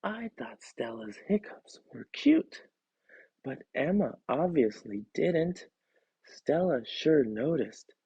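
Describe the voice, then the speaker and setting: A man reading a children's story aloud in an expressive storytelling style. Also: fairly high pitch, slow pace, creaky, slightly rough, no room sound. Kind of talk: expressive storybook narration, first person.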